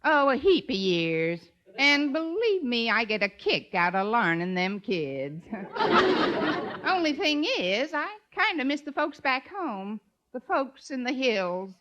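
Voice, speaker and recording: A folksy hillbilly schoolteacher in an old radio comedy. The voice is high and swoops around in a slow, ungrammatical backwoods drawl. She sounds cheerful and ignorant. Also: sing-song, a bit bright, highs cut off, exaggerated voice. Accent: exaggerated American hillbilly. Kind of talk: 1950s radio comedy acting before an audience. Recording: 1950s radio broadcast, music, laughing audience.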